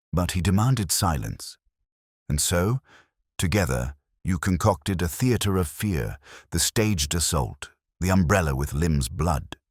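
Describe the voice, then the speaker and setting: Man playing a cold, commanding detective, very deep voice. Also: no echo, clean studio sound, full character voice. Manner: steady, cold, formal, deliberate. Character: cold, commanding male genius detective, accusing and unshakable.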